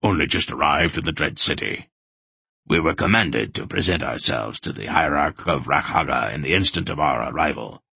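A shrewd old man of magic from a fantasy audiobook, acted by a male narrator. His voice is very low and a little rough, with formal phrasing. It is recorded dry and close. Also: level tone, moderate pace, hardly any pauses, sharp tone, no echo, heavily acted. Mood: smooth, deferential. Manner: formal, deferential. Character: wily old male sorcerer posing as humble pilgrim.

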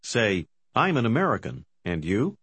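A man's voice: lowish voice, huge pitch swings, a bit bright, dry recording. A man giving scripted instructions as the narrator of a language course. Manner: clear, measured prompting. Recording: dry studio.

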